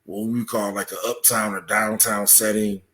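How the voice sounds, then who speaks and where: Low-voiced man explaining things in an interview. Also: level tone, moderate pace, pushed and bright. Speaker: podcast guest, article author. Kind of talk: interview answers, explanatory talk.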